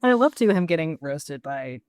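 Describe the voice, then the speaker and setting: A low-pitched woman co-hosts a casual recap podcast. Her delivery is informal, slangy and wry. The recording is dry and free of echo. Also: big pitch swings, a little fast, a little mellow. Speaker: podcast co-host.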